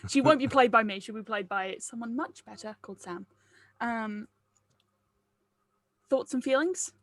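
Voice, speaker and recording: A woman's voice: a little high, measured pace, no room sound. A woman leading a script table read, chatting casually and asking for feedback.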